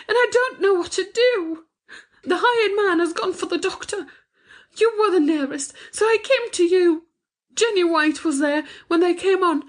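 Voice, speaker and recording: A woman reading a storybook, voicing an emotional, lonely woman in a tremulous voice. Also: a little mellow. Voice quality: tremulous, breathy high voice. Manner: pleading, breathless. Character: distraught lonely woman, pleading and tearful.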